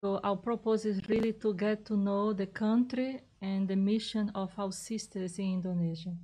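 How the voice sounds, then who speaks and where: A woman from Brazil, a visiting nun, answering interview questions in careful, halting English. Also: medium pitch, steady tone, warm tone, poor audio.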